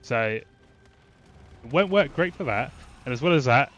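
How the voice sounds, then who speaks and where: A man's voice: mid-range voice, expressive, measured pace, fairly bright tone, muffled recording, faint music behind. A man with a stuffy, blocked-up voice from a cold, casually explaining a game build with lots of fillers and restarts. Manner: rambling, frequent fillers and restarts. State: congested from a cold. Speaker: gaming channel host. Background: game gunfire and explosions.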